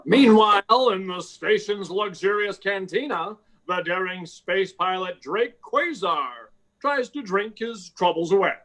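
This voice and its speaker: A man's voice: high voice, unhurried, fairly bright tone. A man playing a dramatic narrator of an old-time-style comic radio serial, reading scripted scene-setting.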